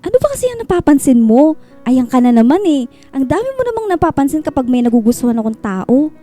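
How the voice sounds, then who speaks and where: A woman's voice: high-pitched, sing-song, quick pace, mellow, soft background music. A woman playing a smitten, teasing, naive young woman with a Filipino accent in a radio drama.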